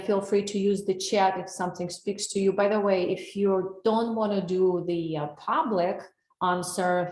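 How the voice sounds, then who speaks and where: A woman's voice: fairly low pitch, darkish tone, roomy sound, a little hiss or hum, poor audio. A woman from Russia with a Russian accent, giving an earnest, personal wellness webinar over a video call and asking her audience questions. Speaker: wellness coach. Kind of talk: live webinar presentation. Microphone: computer mic on a video call.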